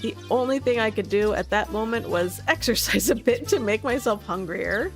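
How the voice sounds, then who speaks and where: An easygoing teenage boy narrating a visual novel, read by a woman. The voice is light and fairly high, casual and a little wry. Music plays behind the reading. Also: expressive, normal speed. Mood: content.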